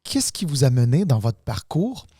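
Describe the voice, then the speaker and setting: A man hosts the interview at a middle pitch, feeling his way into each question through fillers and false starts. The recording is dry and close. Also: big pitch swings, moderate pace, dark tone, slightly rough, no echo. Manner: hesitant, circling questions with many fillers. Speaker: podcast host.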